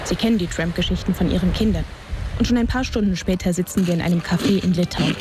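A young woman reporter reading a scripted radio feature in a calm, measured way. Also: fairly low pitch.

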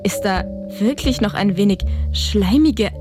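A woman's voice: medium pitch, expressive, a little slow, lightly acted. An actress playing a mysterious, ageless woman who runs an eerie hotel, with a teasing, drily witty and welcoming manner. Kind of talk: audio drama acting. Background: music.

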